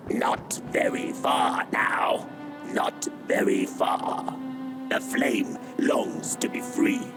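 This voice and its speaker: A performer voicing an eerie, yearning spirit in a video game, hushed and breathy, savoring each word. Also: medium pitch. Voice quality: whispery, breathy, unvoiced. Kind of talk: video game character acting. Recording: music under the voice. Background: music.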